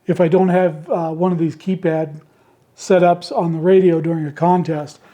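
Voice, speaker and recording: Male host, high mellow voice gone hoarse, easygoing rambling delivery, home radio shack. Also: level tone, normal speed, dull audio. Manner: easygoing and rambling, homespun phrasing. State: hoarse, worn-out voice. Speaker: ham radio channel host selling handmade keypads. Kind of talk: casual product talk to camera. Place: indoor radio shack with computer behind.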